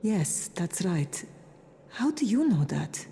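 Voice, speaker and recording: A woman playing a worried, discreet medic in a game cutscene, speaking in a hushed, measured, confiding way. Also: mid-range voice, big pitch swings. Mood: guarded. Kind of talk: dramatic game cutscene acting. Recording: faint background noise.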